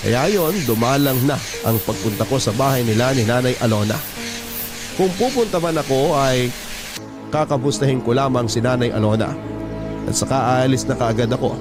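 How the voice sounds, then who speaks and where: A man's voice: mid-range voice, normal speed. A man with a Filipino accent narrating a sentimental story as a radio host reading a letter. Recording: music behind the voice.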